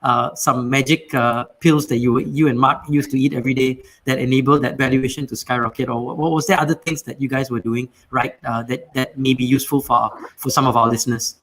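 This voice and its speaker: A man's voice: mid-range voice, steady tone, brisk, hardly any pauses, a bit bright, rough recording. A man hosting an interview, asking long, rambling questions full of fillers. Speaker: podcast host.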